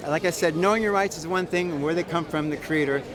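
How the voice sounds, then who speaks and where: Emphatic American man, higher-pitched voice, crowd around. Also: fast talker. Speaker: activist. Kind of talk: spontaneous interview outdoors. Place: outdoors at a public rally. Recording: outdoor crowd noise behind voice. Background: crowd chatter.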